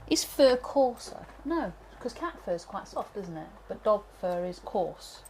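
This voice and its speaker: A woman from Britain, teaching pyrography live, chatting and thinking aloud with many fillers while she works, her voice going a little hoarse. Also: mid-range voice, big pitch swings, a little slow, darkish tone, slight background noise, low-quality recording. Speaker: pyrography instructor. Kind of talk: live tutorial chat while working.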